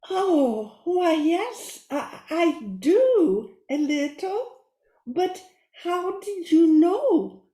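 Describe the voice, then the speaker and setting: A woman's voice: very high-pitched, very animated, extremely slow, mellow, heavily acted. A woman playing an earnest, flustered woman with hesitant, breathless exclamations in an echoey radio play. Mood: awed, flustered. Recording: echoey room sound.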